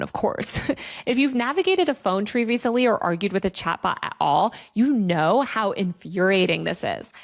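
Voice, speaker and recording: A woman giving opinionated, conversational commentary in an emphatic way, as the host of a video essay. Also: medium pitch.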